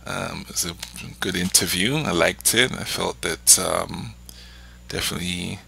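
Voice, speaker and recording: A man's voice: sits low, a little slow, creaky, slightly rough. A man with a London English accent hosting a casual podcast chat, telling stories animatedly. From England. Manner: switches accents playfully, animated storyteller. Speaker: podcast host.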